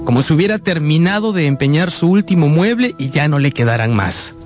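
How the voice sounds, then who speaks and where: An actor playing an earnest, polite young man who tells his own story in a radio drama, with measured, expressive delivery. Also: on the high side, close to an everyday voice. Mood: reflective. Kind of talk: scripted narration with acted dialogue, radio drama. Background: background music.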